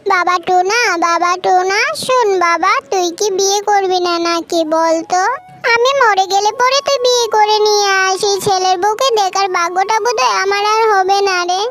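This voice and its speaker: A woman's voice: very high voice, moderate pace, fairly bright tone. A woman voicing a touchy female tailorbird stepmother in a children's cartoon, with an emotional, nagging way of talking. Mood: hurt, nagging. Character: female tailorbird stepmother, doting but touchy, turning scolding mother-in-law. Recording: children's music under the voice.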